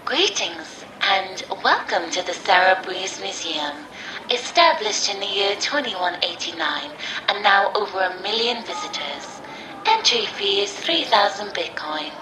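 Casting: actress as formal museum announcer, medium pitch, scripted welcome, distant and roomy over music. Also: female voice, sing-song, unhurried. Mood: formal, welcoming. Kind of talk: scripted public-address announcement.

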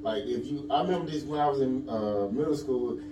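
A man's voice: medium pitch, fairly quick. A man from Alabama hosting a casual podcast chat, rambling with lots of fillers while he asks questions. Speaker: podcast host. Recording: echoey room, distant mic, background noise.

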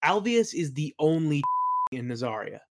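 A man's voice: a little high, big pitch swings, measured pace, background noise. Man thinking aloud in a casual interview. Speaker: interview guest, audio play creator.

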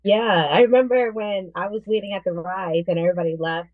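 A woman's voice: medium pitch, fairly even intonation, brisk, no room sound. A woman co-hosting a podcast, telling casual anecdotes in a loose, informal way over a narrow, tinny connection. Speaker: podcast co-host. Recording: narrow, tinny phone-like line.

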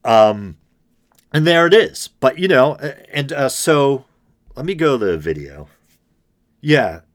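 A middle-aged man hosting a podcast alone, talking in a low, droning, semi-gravelly voice, rambling and self-deprecating with many ums and restarts. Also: big pitch swings, slow pace. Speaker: podcast host. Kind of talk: casual solo podcast monologue.

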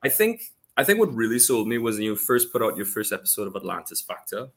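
A man's voice: fairly low pitch, very animated, fast talker. A middle-aged man from Trinidad and Tobago with a Trinidadian accent, a podcast guest chatting casually and rambling with many restarts. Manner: rambling, full of restarts and fillers.

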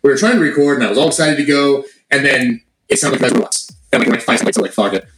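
A man with a cold, his voice salty and hoarse, rambling and swearing casually on a podcast. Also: medium pitch, lively intonation, quick pace. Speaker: podcast co-host. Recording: echoey room with noise.

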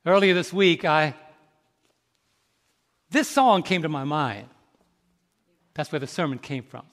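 A man in his fifties with a high voice preaches to his congregation, tossing questions out for them to answer. He drops to a slow, quiet hush and then climbs into loud, ringing exhortation. His words hang in the long reverb of a large hall. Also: expressive. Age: 50s. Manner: swings from soft, slow reflection to loud, rising exhortation. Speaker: pastor. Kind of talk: sermon.

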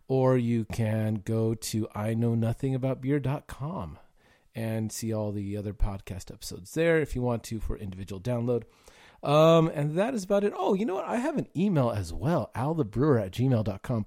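Gravelly guy hosting a beer podcast, rambly and relaxed, lots of uhs. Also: mid-range voice. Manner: chatty, loose, lots of fillers. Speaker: podcast host. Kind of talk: casual podcast chat.

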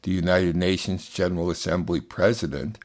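A man's voice: sits low, fairly even intonation, moderate pace, a little mellow. A man hosting a radio news programme, reading scripted announcements and links. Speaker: radio news show host.

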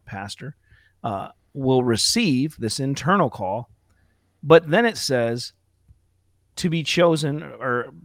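Slightly hoarse man reading a confession. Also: medium pitch, dry recording, clean studio sound. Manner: reads aloud, pauses to clear throat. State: slightly hoarse from outdoor preaching. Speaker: co-host discussing a church confession. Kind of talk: Bible study discussion show.

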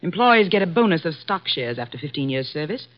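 A long-serving, defiant secretary in a 1950s radio play. She speaks crisply and properly, her pitch rising and falling a lot. The recording is dull and lo-fi. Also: big pitch swings, a little fast. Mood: pointed. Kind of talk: 1950s radio drama acting.

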